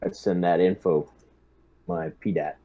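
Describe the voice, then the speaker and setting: Low male voice on a dull mic. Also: fairly even intonation, measured pace. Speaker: tabletop roleplaying game player. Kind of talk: halting casual in-character orders. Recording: dull lo-fi microphone.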